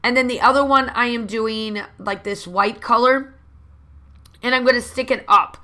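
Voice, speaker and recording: Chatty woman with a bright voice. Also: fairly high pitch, moderate pace. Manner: rambling, chatty, many fillers and restarts. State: slightly hoarse from allergies. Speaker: scrapbooking channel host. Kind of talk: casual crafting voiceover. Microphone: voice-over recorded separately from the video.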